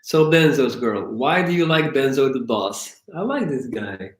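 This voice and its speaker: A man's voice: mid-range voice, big pitch swings, measured pace, echoey room. A man hosting a live question-and-answer stream, joking and teasing while he reads out viewer questions. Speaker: YouTube host.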